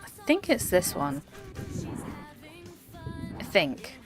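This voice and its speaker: A woman's voice: fairly low pitch, big pitch swings, slow pace, over music. A woman streaming a game, chatting casually with her viewers and laughing often, her voice worn by a slight cold. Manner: giggly, chatty, talks to chat by name. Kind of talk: casual live-stream chat.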